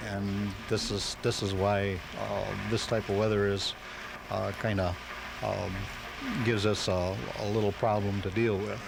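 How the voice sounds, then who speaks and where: A man with a lowish voice answers interview questions as a club member. He explains haltingly, with many hesitations, over clear background noise on a home-taped live radio show.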